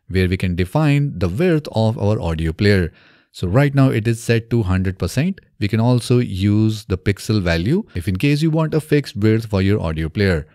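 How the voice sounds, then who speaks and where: A man in his thirties presenting a software tutorial step by step in a methodical way, recorded close on a desk microphone in a small home studio. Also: fairly low pitch, no room sound. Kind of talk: scripted software tutorial narration. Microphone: close desk microphone on boom arm.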